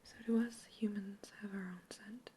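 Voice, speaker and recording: A woman whispering as a gentle researcher. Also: mid-range voice, fairly even intonation, normal speed, a little hiss or hum, lightly acted. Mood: curious. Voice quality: soft whisper throughout. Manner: gentle, curious, reassuring questions. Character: polite human woman researcher questioning a captive alien. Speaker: ASMR roleplay creator. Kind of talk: whispered ASMR roleplay.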